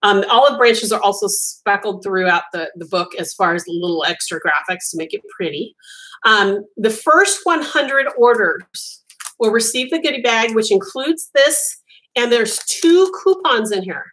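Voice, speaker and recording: A woman's voice: mid-range voice, lively intonation, moderate pace, fairly bright tone, poor audio. A woman whose first language was Italian, hosting a casual live chat as a crochet designer, rambling with frequent ums and restarts.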